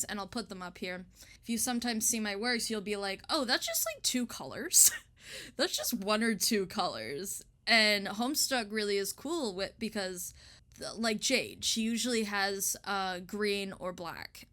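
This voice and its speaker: A young woman with a bright, forward voice chats casually as an interviewed artist. Her talk is informal and slangy, with a giggly manner. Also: mid-range voice. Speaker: interviewed illustrator.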